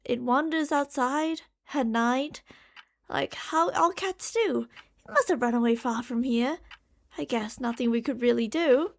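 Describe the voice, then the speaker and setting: A woman playing an impatient, obsessive female mad scientist in an ASMR roleplay, theatrical and condescending. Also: high voice. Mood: dismissive, irritated.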